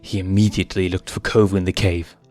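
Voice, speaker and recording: A man with a low voice narrates fiction from a script. His delivery is that of a dramatic storyteller, and music plays softly behind him. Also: level tone, fast talker. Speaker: fan fiction reader and narrator.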